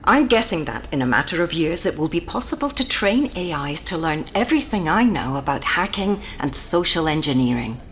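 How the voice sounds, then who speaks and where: Thoughtful serious woman, lowish voice that moves around a lot, audio drama, noise behind. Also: very animated, brisk, hardly any pauses. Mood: grave, speculative. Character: thoughtful woman speculating gravely about technology.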